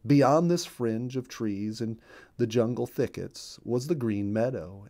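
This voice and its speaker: A man reading a children's story as storyteller, expressive and placing deliberate emphasis on key words. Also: fairly low pitch.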